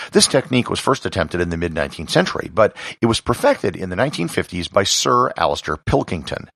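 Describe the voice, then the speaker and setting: American man, podcast narrator, husky low voice, gravelly, scripted educational read, measured and emphatic. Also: fairly bright tone, no room sound, clean studio sound. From Wisconsin, United States.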